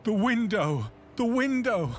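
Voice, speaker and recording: A man's voice: sits high, very animated, slow, heavily acted. An actor playing a haunted, terrified man, giving a grave, literary narration of horror at sea in a dramatic gothic video game. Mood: panicked terror. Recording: music behind the voice. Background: music.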